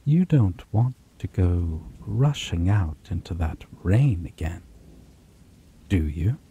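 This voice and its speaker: A man voicing an ancient, possessive vampire in an ASMR roleplay, theatrically courteous and menacing, with breathy, drawn-out phrasing that slips into whispers. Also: deep voice, full character voice. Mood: playful, menacing. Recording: steady rain and thunder noise behind.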